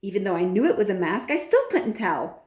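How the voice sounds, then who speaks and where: A woman voicing a warm, loving old grandmother who speaks calmly and fondly. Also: fairly quick, roomy sound, lightly acted. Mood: affectionate. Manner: high, affectionate, calm.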